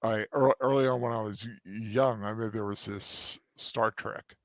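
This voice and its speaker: A man's voice: on the low side, steady tone, normal speed, no echo. A man co-hosting a casual podcast, talking hesitantly and searching for words. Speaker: podcast co-host. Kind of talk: casual discussion.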